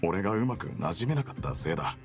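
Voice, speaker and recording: A man's voice: low-pitched, sing-song, fast talker, music in the background. A man voicing a weary, apologetic prisoner in an anime game, with a casual, placating and slightly hesitant manner. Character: weary adult male prisoner, placid, apologetic, evasive.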